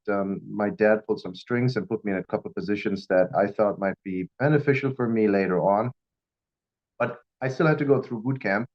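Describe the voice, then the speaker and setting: A man's voice: on the low side, highs cut off. A middle-aged man from Germany with a German accent, giving an informal personal talk as a guest speaker. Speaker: guest speaker, firearms instructor.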